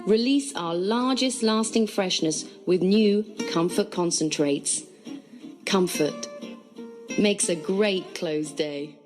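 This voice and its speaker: Woman, slow-paced commercial read, product advert script, clear guitar backing. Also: on the low side. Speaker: commercial voice-over.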